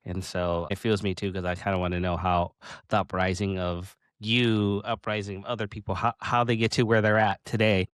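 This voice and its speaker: A man in his late thirties hosting a casual interview, talking in halting bursts with many restarts. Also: deep voice, clean tone, no room sound, clean studio sound. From Pacific Northwest of the US. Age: 30s. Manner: halting, restarts often, excited laughs. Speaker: podcast host.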